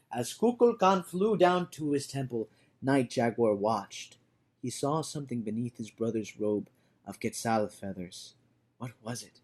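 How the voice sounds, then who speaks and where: Animated high-voiced man reading a storybook. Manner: theatrical, swinging from hushed to shouting. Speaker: read-aloud storyteller. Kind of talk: dramatic storybook narration. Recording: orchestral music behind the voice. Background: orchestral music.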